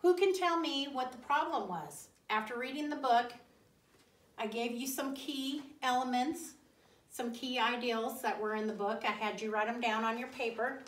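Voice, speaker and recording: A woman teacher leading a classroom reading lesson, speaking deliberately and didactically, pausing, repeating and putting questions to pupils. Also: a little high, fairly bright tone. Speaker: elementary school teacher. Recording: roomy echo, distant mic.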